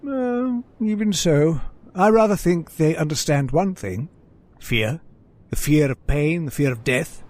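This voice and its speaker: A man's voice: on the high side, lively intonation, measured pace, a little mellow. A man playing a genial, philosophical hunting companion with a superstitious unease, in old-time radio drama style. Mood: musing.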